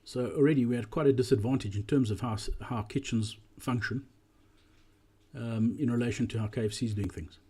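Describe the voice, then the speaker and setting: Guy narrating a walkthrough, relaxed husky voice, explains stuff in a measured way. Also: medium pitch. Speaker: presenter.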